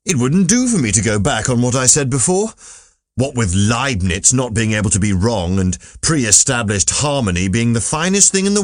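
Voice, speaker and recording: Man acting a pedantic, animated philosopher. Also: mid-range voice, no echo, studio quality, close to an everyday voice. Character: stubbornly optimistic philosopher, learned and self-satisfied.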